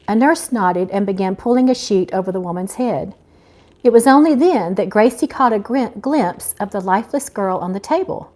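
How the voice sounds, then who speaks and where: A middle-aged woman giving a live, informal reading of her own fiction, expressive and unpolished, as an amateur. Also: medium pitch, moderate pace. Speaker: author reading own novel aloud. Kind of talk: live amateur audiobook reading with casual asides.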